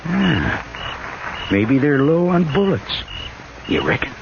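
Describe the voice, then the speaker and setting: An actor playing a wounded, folksy Old West frontiersman with a slow western drawl and rough frontier slang, in a 1950s radio drama. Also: mid-range voice, huge pitch swings, heavily acted. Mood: wary, drawling. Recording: hissy, muffled old radio broadcast.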